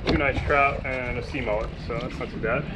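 A relaxed man in his thirties chats his way through a fishing trip from a kayak, his voice at a mid pitch. He was recorded outdoors on a boat-mounted action camera. Clear wind and water noise sit behind him the whole time. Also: a little fast. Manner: laid-back, chatty, excited exclamations over catches. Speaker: fishing vlogger. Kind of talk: casual running commentary while fishing. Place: kayak on open water under a pier, outdoors. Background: water and wind ambience.

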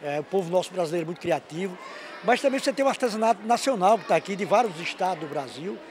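A middle-aged man interviewed at an indoor fair, talking haltingly with many restarts into a handheld mic. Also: sits high, animated, slight background noise. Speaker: fair organizer.

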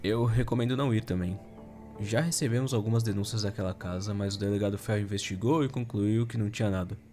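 A man's voice: lowish voice, steady tone, fast, warm tone, faint music behind, lightly acted. A Brazilian man acting in an audio drama as a dutiful, wary police soldier with a formal, cautious manner. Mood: guarded, informative.

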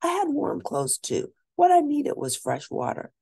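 A woman reading a children's story aloud as an expressive storyteller, lingering deliberately on key words. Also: high-pitched, gravelly, no echo, clean studio sound.

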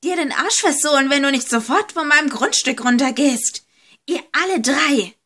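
A woman's voice: sits high, lively intonation, normal speed, pushed and bright. A woman voicing a menacing, villainous female villa owner in an amateur horror audio story. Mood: angry, scolding.

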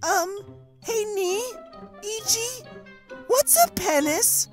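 Super high slow dopey sweet kid dragon, cartoon dub, innocent and unsure, music under it. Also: male voice, expressive, heavily acted. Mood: puzzled. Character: naive, sweet, childlike male dragon head.

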